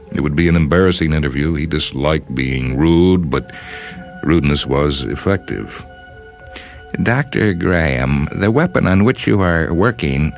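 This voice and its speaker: An extremely deep male voice narrates fiction as a host and reader. The delivery is deliberate and measured, a scripted dramatic reading with music clearly running underneath.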